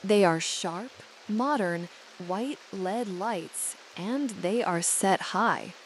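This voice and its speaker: A woman narrating a guided sleep meditation in a soothing, measured and deliberate way. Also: mid-range voice, slight background noise, poor audio.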